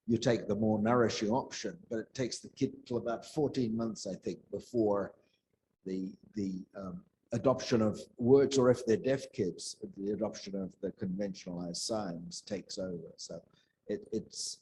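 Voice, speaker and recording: A man commenting as a researcher in an academic discussion, phrasing his points haltingly and in a conciliatory way. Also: lowish voice, warm tone, slight background noise, rough recording. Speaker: audience questioner, researcher. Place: indoor conference room.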